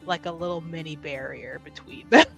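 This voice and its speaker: A woman with a bright, sharp-edged voice plays her part in a tabletop game, describing what her character does in long, rambling stretches broken up by frequent giggles. Faint music runs quietly in the background. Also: low voice, very animated, normal speed, vocal fry. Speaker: player. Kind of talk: casual tabletop game play.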